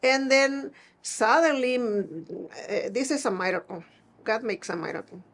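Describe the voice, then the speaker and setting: A middle-aged woman from Mexico with a northern Mexican Spanish accent, telling personal stories conversationally in a plain-spoken, halting way. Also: on the high side, animated, vocal fry. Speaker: seamstress being interviewed.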